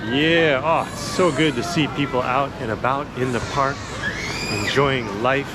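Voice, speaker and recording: Man chatting outdoors over clear city noise. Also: mid-range voice, steady tone, measured pace. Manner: laid-back, enthusiastic, colloquial. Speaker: vlogger giving a walking tour. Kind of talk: casual chatty walk-and-talk narration. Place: outdoors in a busy city park. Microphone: handheld action camera.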